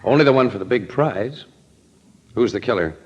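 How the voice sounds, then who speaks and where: An actor playing a wry, skeptical, streetwise newspaper photographer in an old-time radio crime drama, with clipped, slangy wisecracks. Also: male voice, lowish voice, expressive, normal speed, darkish tone, husky. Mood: dry, sardonic. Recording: dull, lo-fi old radio recording.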